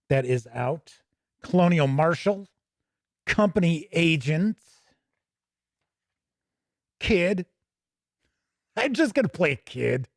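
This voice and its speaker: Guy doing a laid-back gaming book flip-through, kinda high voice, rambly asides, very dry sound. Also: no room sound. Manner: rambling, thinking aloud, trailing into asides. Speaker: host of a tabletop gaming review show. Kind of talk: casual live commentary while paging through a rulebook.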